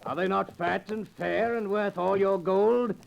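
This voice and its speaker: An actor playing a bold, sly outlaw hero disguised as a butcher in a 1940s radio drama, with flowery, theatrical speech. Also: male voice, high-pitched, fairly even intonation, a little slow, gravelly, full character voice. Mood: teasing. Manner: theatrical, mock-humble, flowery archaic speech. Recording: noisy vintage 1940s radio recording.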